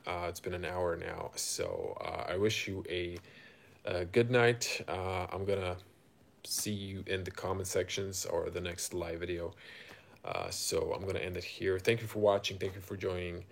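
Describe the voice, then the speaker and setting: A man from Croatia with a Croatian accent, casually chatting to viewers as a car reviewer on a live stream, halting and thinking aloud. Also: deep voice, vocal fry. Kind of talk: casual unscripted chat with viewers.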